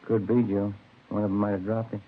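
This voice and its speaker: An actor playing a plainspoken, easygoing American police detective in a 1950s radio crime drama, casual and methodical. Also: male voice, on the low side, level tone, a little fast, a little hiss or hum, lightly acted. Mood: plain, inquiring. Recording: thin, phone-like vintage radio recording.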